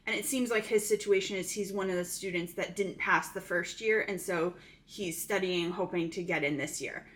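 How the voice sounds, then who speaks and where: A woman casually recapping a thriller movie plot, chatty and full of "um" fillers. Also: medium pitch.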